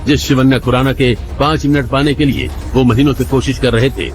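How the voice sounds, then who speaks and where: A man tells a scripted drama as its narrator. His voice is mid-pitched and delivered in a dramatic storytelling manner, with light music running underneath. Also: steady tone, a little fast.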